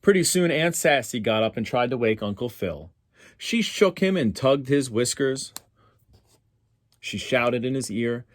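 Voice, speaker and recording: Animated man reading a picture book aloud. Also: mid-range voice, unhurried, no room sound, clean studio sound. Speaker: read-aloud storyteller for schoolchildren.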